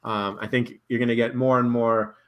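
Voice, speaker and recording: A man hosting a casual video call chat, relaxed and rambling, laughing easily. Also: mid-range voice, normal speed. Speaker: host.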